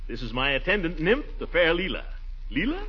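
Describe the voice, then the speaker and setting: A man's voice: on the high side, wildly swooping pitch, fairly quick, slightly rough, exaggerated voice. An actor playing a pompous, festive middle-aged man with grandiose, florid delivery in a 1940s radio comedy. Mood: grand, jovial. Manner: grandiose, theatrical, florid speech. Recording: dull vintage 1940s radio recording.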